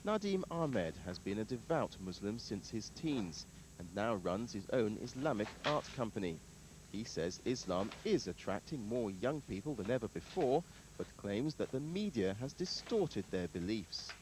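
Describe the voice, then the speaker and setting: A man narrating a television news report in a measured, formal way. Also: on the low side, sing-song, noisy background.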